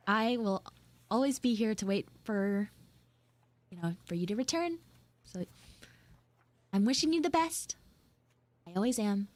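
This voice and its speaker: A woman livestreamer chatting casually and haltingly with her live viewers, in an informal way, close on the mic. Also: on the high side, a little mellow, dry recording. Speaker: livestreamer and VTuber host.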